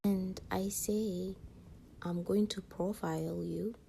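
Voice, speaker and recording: A woman's voice: medium pitch, steady tone, slow pace, gravelly, noisy background. A woman vlogger chatting casually to her viewers in a rambling, slangy way. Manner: rambling, peppered with slang and filler phrases.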